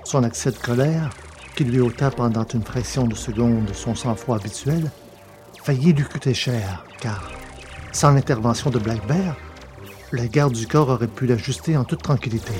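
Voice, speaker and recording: A man's voice: mid-range voice, normal speed, faint music behind. A man narrating a science-fiction adventure novel as a scripted audiobook reading.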